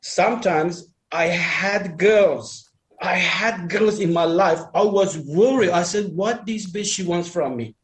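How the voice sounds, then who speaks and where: A man with a fairly high voice speaks English as a second language. He talks casually in a group chat, offering advice in a rambling, emphatic way and often repeating phrases. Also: slow. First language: not English.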